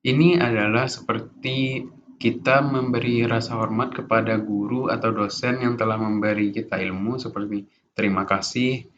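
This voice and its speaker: A young man giving an informal class presentation as a student, halting often with restarts and fillers. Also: mid-range voice, fairly bright tone. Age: young adult. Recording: dull lo-fi recording with faint noise.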